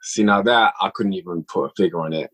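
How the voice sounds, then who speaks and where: Low-voiced man, reflective podcast guest. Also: quick pace, fairly bright tone, breathy edge. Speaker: podcast guest, audio drama writer and director. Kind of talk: casual interview chat.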